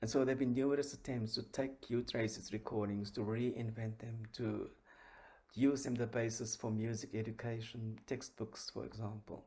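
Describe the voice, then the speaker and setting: A man giving an academic conference presentation in a measured, halting way, searching for words as a researcher. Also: mid-range voice, steady tone, dark tone.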